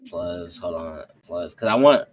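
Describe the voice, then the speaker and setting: A man's voice: sits low, animated, a little slow, a little hiss or hum. A man chatting casually as a player in a tabletop roleplay, repeating words as he works things out. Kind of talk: casual game chat.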